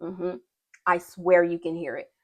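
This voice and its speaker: A woman's voice: fairly low pitch, steady tone, unhurried, fairly bright tone. A young woman vlogger chatting casually and gushing in informal slang while she does her makeup. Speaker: beauty and wrestling vlogger.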